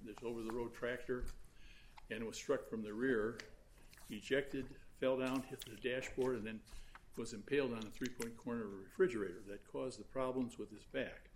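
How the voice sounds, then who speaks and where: A soft-spoken man arguing an appeal before judges in a large courtroom, halting and full of fillers as he speaks into a fixed recording mic. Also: medium pitch, fairly even intonation, slight background noise, low-quality recording. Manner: halting, with many fillers and restarts. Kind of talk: appellate oral argument.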